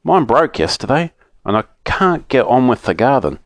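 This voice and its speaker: A man's voice: medium pitch, sing-song, moderate pace, no echo. A man playing a careworn, stern father in a children's storybook, grumbling as he speaks.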